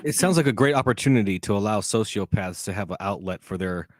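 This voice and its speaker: A man's voice: lowish voice, animated, fast, no room sound. A man arguing the omnivore side in an online debate, blunt and emphatic.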